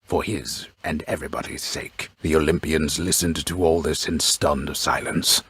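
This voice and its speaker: A man playing a grave, pompous storyteller in a mythic video game, narrating deliberately with wry, deadpan solemnity and a gravelly voice. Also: very deep voice, no room sound, exaggerated voice. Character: grave, pompous old storyteller narrating Greek myth, wryly ominous. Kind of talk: scripted dramatic storybook narration.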